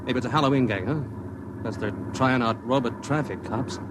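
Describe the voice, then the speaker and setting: An actor playing a folksy, excitable armored truck guard who talks in slangy exclamations, in an old-time radio drama. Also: male voice, medium pitch, brisk, natural voice. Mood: chatty, curious. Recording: noisy old radio, vehicle sounds. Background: truck and road sound effects.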